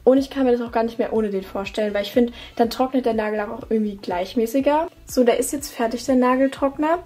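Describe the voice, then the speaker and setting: A woman's voice: a little high, quick pace, dark tone. A young woman from Germany chatting casually as she talks through her routine at her desk at home, with fillers and self-corrections. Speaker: beauty vlogger. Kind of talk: casual tutorial chat.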